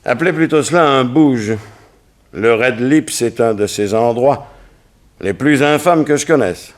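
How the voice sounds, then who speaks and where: A man's voice: medium pitch, a little slow, close to an everyday voice. A man playing a middle-aged, troubled and dignified politician, in the formal, earnest manner of 1960s French radio drama. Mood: distressed. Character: troubled, dignified politician father of a teenage son.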